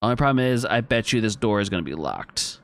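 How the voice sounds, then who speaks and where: A man's voice: fairly low pitch, big pitch swings, fast talker, a bit bright, dry recording, studio quality. A man streaming a video game, thinking aloud, chatting with his viewers and reading on-screen text as he plays. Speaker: gaming streamer. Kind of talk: casual let's-play commentary.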